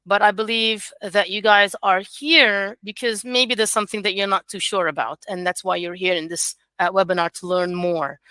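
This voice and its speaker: A woman in her forties who learned English as a second language speaks with a bright, crisp and smooth tone. She talks in an encouraging, chatty way with plenty of ums and uhs. The sound is dry and close, as on a video call. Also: mid-range voice, steady tone, normal speed, hardly any pauses, dry recording. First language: not English. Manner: warm, encouraging, chatty with many fillers. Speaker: academic coordinator. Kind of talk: informal webinar presentation.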